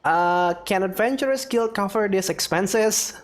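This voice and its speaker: A woman's voice: medium pitch, measured pace, very noisy. A woman giving casual let's-play commentary and reading along as she plays. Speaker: game streamer.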